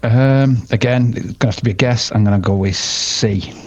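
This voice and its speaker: A man's voice: mid-range voice, normal speed, a little mellow. A man taking part in a quiz as a contestant, chatting casually as he answers.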